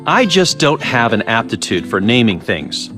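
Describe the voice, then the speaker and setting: Video game research director, male actor: measured, condescending, slyly menacing, very expressive swings in pitch, faint music behind. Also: natural voice. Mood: musing, pleased. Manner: measured, condescending, sly politeness. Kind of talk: English video game voice acting.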